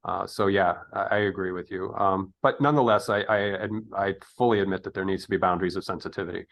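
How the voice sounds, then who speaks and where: A middle-aged man with a lowish voice talks through his thoughts in a conversational interview. He is earnest and a little hesitant, often searching for the right word. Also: fast talker, creaky, fairly bright tone. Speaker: interview host. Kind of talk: reflective conversational discussion.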